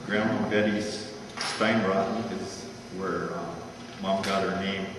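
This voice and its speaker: Low-voiced man, halting informal storyteller, heard from afar in a booming, reverberant hall. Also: steady tone. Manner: halting, with pauses and repeats. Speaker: co-presenter of a family life story. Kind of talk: informal storytelling between read verses. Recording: echoey hall from far off, noisy.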